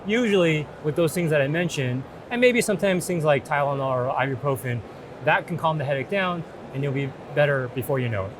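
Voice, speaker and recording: A man's voice: a little high, lively intonation, fairly quick. A middle-aged man speaking as a doctor in a reassuring, explanatory consultation. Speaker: doctor in a mock patient consultation. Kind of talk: conversational clinical consultation. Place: open booth in large indoor exhibition hall. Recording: noisy trade show hall background.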